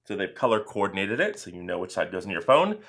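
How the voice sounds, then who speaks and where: A man with a very deep voice reviewing gear in a casual vlog, talking in a relaxed way with many uhs. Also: quick pace, creaky. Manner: relaxed, filler-heavy talk with many uhs.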